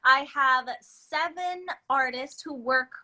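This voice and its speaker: Bright, high-voiced chatty woman from the Philippines. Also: takes it slowly. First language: Filipino. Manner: chatty, laughs often, many restarts. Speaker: guest, beauty business owner. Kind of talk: casual interview chat.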